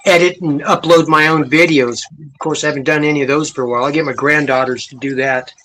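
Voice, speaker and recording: A man's voice: fairly high pitch, fairly even intonation, fast talker, fairly bright tone, slight background noise, rough recording. A man in his sixties co-hosting a casual livestream chat, with laid-back asides. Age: 60s.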